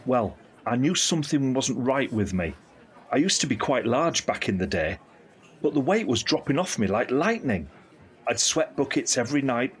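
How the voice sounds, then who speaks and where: Husky, lively British uncle. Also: male voice, medium pitch. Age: 50s. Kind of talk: audio drama acting, conversational monologue.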